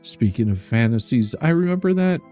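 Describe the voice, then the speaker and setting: A man's voice: medium pitch, expressive, normal speed, dark tone, soft background music. An older man hosting a stream, reading a letter aloud in a sarcastic, mocking and theatrical way. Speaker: streamer and host.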